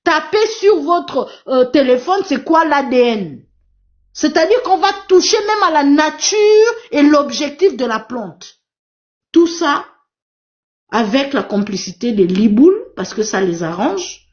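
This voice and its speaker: Woman, native Portuguese speaker, high pitch, emphatic and repetitive, dull lo-fi stream sound. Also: sing-song, measured pace. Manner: emphatic, repetitive, exhorting the audience. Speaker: live stream moderator and activist. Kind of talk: impassioned live panel talk. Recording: dull lo-fi live stream audio.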